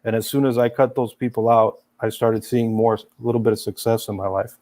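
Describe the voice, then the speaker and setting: A man's voice: medium pitch, level tone, a little fast, warm tone. A young man born in Serbia and raised in the US, speaking with no accent as an interview guest, earnest and full of fillers. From Serbia, raised in USA. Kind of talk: casual interview chat.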